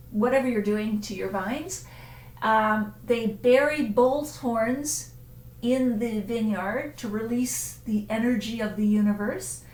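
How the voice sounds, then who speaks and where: A woman's voice: fairly high pitch, reverberant, slight background noise. A woman hosting a casual live stream, chatting about wine and answering viewers' comments as they come in, with frequent ums and self-corrections.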